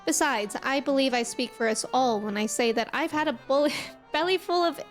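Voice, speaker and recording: Steady young male companion, read out by a woman, plain read-aloud delivery, light music behind. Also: high voice, a little fast, close to an everyday voice.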